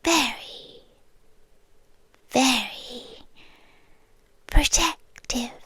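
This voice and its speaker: Slow, whispery British yandere girlfriend, acted by a woman. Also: high voice, a bit bright, heavily acted. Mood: softly menacing. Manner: breathy, whispery, slow, sweetly condescending. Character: possessive yandere girlfriend, inhuman nest-dweller, softly menacing. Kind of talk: ASMR roleplay. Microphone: close microphone.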